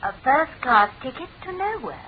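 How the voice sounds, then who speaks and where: An actress playing a confident, pert English detective's assistant in a classic radio drama, with a dry, brisk manner. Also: female voice, high voice, big pitch swings, crowd noise. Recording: tinny band-limited old radio recording.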